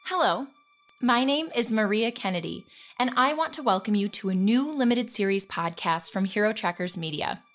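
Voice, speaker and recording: A woman playing an earnest, intrigued journalist hosting an investigative audio-drama podcast, speaking deliberately. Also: medium pitch, lightly acted. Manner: earnest, deliberate, with rhetorical questions. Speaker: podcast host. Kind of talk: scripted investigative podcast narration.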